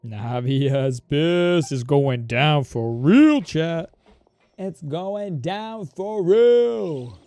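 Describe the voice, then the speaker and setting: A woman's voice: sits low, animated, very slow, dark tone. A woman streaming a video game, giving casual, slangy live reactions in a drawling, muttered way.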